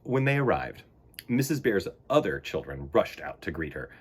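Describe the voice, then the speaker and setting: A man hosting a storytime for children, speaking to young viewers in an expressive, theatrical and earnest way. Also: deep voice, normal speed, slight background noise. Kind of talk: expressive read-aloud and talk to young viewers.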